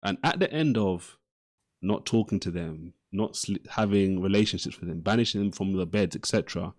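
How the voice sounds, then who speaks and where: A man's voice: low voice, moderate pace. A man arguing in an unscripted live debate as its host, insistently repeating and restarting his phrases.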